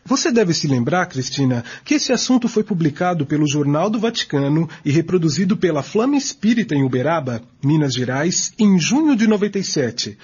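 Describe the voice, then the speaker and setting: Didactic spiritist acted by a man, Brazilian accent, formal emphatic delivery, highish voice, dull lo-fi radio drama. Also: big pitch swings, brisk, few pauses, darkish tone, husky, heavily acted. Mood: informative. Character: assured, didactic male spiritist group member. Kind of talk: amateur radio drama acting.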